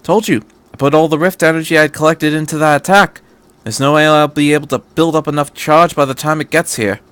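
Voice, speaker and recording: A man's voice: on the high side, steady tone, brisk, fairly bright tone, no echo, voice pushed up, natural voice. Man playing an urgent, eccentric sci-fi hero. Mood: frustrated. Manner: urgent, emphatic. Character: intense, grim, eccentric male alien scientist hero.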